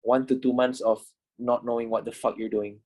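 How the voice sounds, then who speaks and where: A man's voice: medium pitch, level tone, brisk, darkish tone. Young man teaching trading, swearing. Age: 20s. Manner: swears, repeats himself, keeps asking "you know?". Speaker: trading instructor. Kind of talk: casual online class wrap-up.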